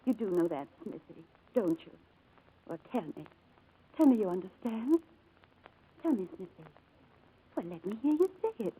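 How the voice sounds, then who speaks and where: A woman's voice: medium pitch, big pitch swings, moderate pace, long pauses, mellow, slightly rough, low-quality recording. An actress playing a devoted, spirited young woman in a 1940s radio drama, speaking in an earnest, pleading and effusive way. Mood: anguished, pleading. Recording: old radio broadcast with music behind.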